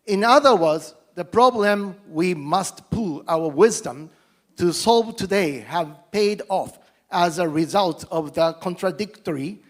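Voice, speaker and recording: A middle-aged man from Japan, a native Japanese speaker, giving a formal guest speech as a politician in halting English with a Japanese accent, stumbling over words and restarting often between self-deprecating jokes. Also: sits high.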